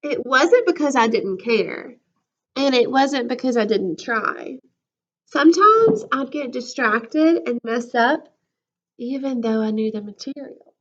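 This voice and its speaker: A woman school counselor reading a picture book to children in an expressive, casual storytime style. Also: high-pitched, a little slow, dull audio. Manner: animated, acts out the character lines. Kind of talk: expressive children's read-aloud with casual asides.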